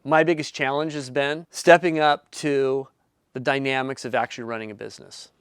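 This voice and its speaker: A man in his fifties with a smooth, resonant voice speaks to camera as a founder making his pitch. His delivery is earnest and explanatory, stretching out words for emphasis. The sound is dry and close. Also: fairly even intonation, normal speed, fairly bright tone, no room sound. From Southern California. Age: 50s. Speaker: company founder and inventor. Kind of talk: pitch video talk to camera.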